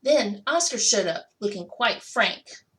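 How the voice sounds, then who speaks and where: A woman's voice: mid-range voice, expressive, unhurried, a bit bright, rough recording. A woman reading a children's picture book aloud expressively, stretching out key words for emphasis. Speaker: school technology coordinator reading to children.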